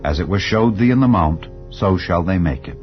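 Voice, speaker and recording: Male scripture narrator, low pitch, solemn formal delivery, measured phrasing, slightly muffled sound. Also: expressive. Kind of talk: solemn dramatized Bible reading. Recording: slightly dull audio with background music. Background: soft background music.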